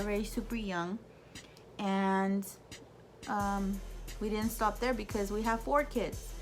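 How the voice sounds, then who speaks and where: A woman in her thirties talks straight to her camera in an easygoing vlog. She answers viewer questions in a chatty, animated way, full of ums and self-corrections. Her voice sits at an ordinary middle pitch, and a little music plays faintly behind her. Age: 30s. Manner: chatty, animated, many fillers and restarts. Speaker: YouTube vlogger. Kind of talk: casual Q&A talk to camera.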